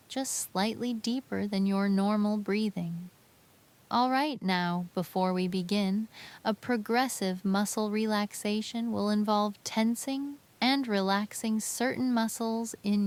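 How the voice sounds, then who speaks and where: Slow-paced woman guiding sleep meditation. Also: mid-range voice. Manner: gentle, soothing, unhurried instruction. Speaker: meditation guide. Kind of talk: guided sleep meditation, scripted narration.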